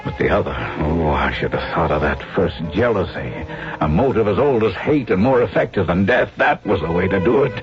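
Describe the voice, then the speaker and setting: A man's voice: low-pitched, lively intonation, a little fast. A man playing a glib, guilt-ridden talent agent in a radio drama, with slangy salesman patter and confessional first-person narration. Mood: tense, regretful. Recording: dull radio recording with dramatic music.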